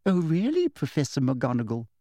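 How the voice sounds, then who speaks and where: A man voicing a sweetly menacing middle-aged woman official who speaks softly with a shrill edge. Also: sing-song, moderate pace, dry recording, studio quality, full character voice. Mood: icily polite. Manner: soft, deadly, with a shrill edge.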